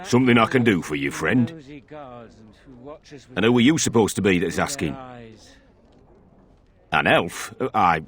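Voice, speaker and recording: An actor playing a wary, grudgingly helpful innkeeper in a video game, with a guarded, folksy manner. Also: male voice, lowish voice, animated, a little slow, long pauses, fairly bright tone. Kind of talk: video game character acting.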